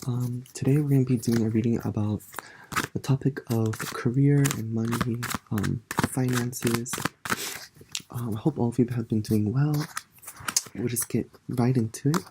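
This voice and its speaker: Congested tarot reader, loud hiss behind. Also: medium pitch, steady tone, normal speed. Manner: soft-spoken, meandering, musing aloud. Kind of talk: relaxed pick-a-card tarot reading.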